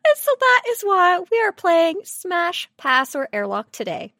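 A woman's voice: very high-pitched, sing-song, measured pace, fairly bright tone, no room sound, clean studio sound. A woman co-hosting a podcast, explaining things casually in a lighthearted, amused way. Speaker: podcast co-host.

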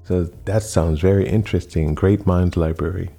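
A male talk show host with a low, very dark and mellow voice. He speaks slowly and emphatically, leaning on repetition. Faint music plays behind him. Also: steady tone, gravelly. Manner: slow, emphatic, repeats phrases for effect. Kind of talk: radio-style interview.

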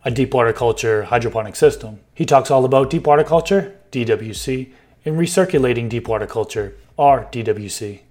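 A man hosting a gardening podcast, reading the intro and sponsor spots in an upbeat way, his voice a bit hoarse and raspy. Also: medium pitch, darkish tone. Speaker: podcast host.

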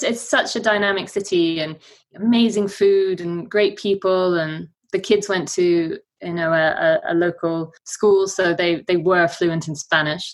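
Chatty British woman telling travel stories. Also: on the low side. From Britain. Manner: chatty, anecdotal, frequent ums and sorts. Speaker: guest, family travel blogger. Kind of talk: conversational interview.

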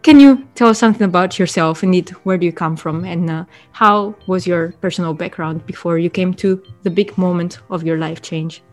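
A woman hosting a podcast interview, upbeat in greeting and halting with restarts when asking questions. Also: fairly low pitch, darkish tone, faint music behind. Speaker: podcast host.

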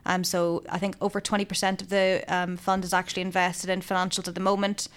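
Flat-voiced woman discussing investments. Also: lowish voice. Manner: measured, with frequent ums. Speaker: fund investment analyst. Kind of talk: casual business discussion.

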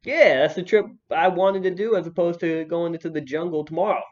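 A man's voice: on the high side, fairly even intonation, a little fast. A young man hosting a podcast, chatting in a laid-back, joking way with many restarts. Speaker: podcast host. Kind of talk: casual chat. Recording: dull lo-fi podcast audio.

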